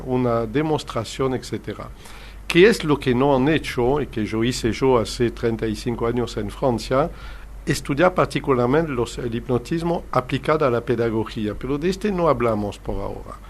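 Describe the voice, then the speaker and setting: A man's voice: mid-range voice, a little hiss or hum. An older man who speaks Spanish as a second language, a hypnotherapist explaining his work in an earnest, lecturing way during an interview. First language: not Spanish. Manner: earnest, explanatory, non-native Spanish phrasing. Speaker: hypnotherapist guest.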